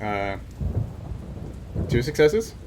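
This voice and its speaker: A man running a tabletop game as game master, casually narrating and refereeing in a halting, thinking-aloud way. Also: medium pitch, big pitch swings, background noise.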